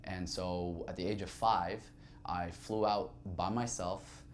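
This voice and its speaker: A man's voice: sits low, steady tone, takes it slowly, slight background noise. A young man, a native Arabic speaker from Saudi Arabia, telling his life story as a podcast guest in a candid, reflective way with many fillers.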